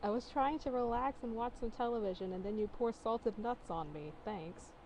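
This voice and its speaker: Woman playing exasperated young man's part in amateur story reading: annoyed, sarcastic, scolding, slightly raised pitch, faint noise. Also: normal speed, a little mellow, lightly acted, rough recording. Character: exasperated boyfriend, a man, grumbling and sarcastic.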